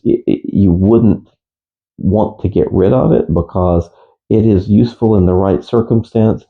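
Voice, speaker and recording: A man giving a casual, unscripted gear review as a radio hobbyist, speaking in a halting, matter-of-fact way. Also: low-pitched, phone-quality sound. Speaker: amateur radio hobbyist presenter.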